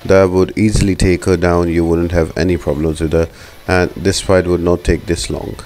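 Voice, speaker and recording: A man's voice: low-pitched, flat delivery, normal speed, a little mellow. A man giving instructional walkthrough commentary as the host of a gaming guide, explaining things step by step.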